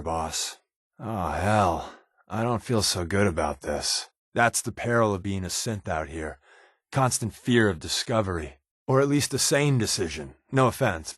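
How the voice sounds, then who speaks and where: An actor playing a sardonic, wisecracking undercover resistance agent in a video game, with a casual, slangy, quipping delivery. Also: male voice, on the low side, a bit bright, dry recording, clean studio sound. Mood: dry, teasing, then wary, wry. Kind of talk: video game character voice lines.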